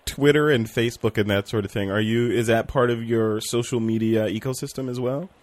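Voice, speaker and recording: A man's voice: mid-range voice, fairly even intonation, brisk, darkish tone, no echo. A man hosting a photography podcast, asking questions and giving short agreeing replies. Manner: brief backchannels, laughs along. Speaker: podcast host interviewer. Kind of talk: casual interview conversation.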